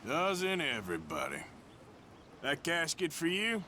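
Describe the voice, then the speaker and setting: An actor playing a gruff, weary American cowboy outlaw, terse and dry with a drawl, in a video game. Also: male voice, a little high, huge pitch swings, a little slow, slight background noise, exaggerated voice. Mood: menacing. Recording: game scene under loud music.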